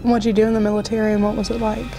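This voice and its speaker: A woman interviewer reading prepared questions in a brisk, scripted way. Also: mid-range voice, flat delivery. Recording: music playing behind.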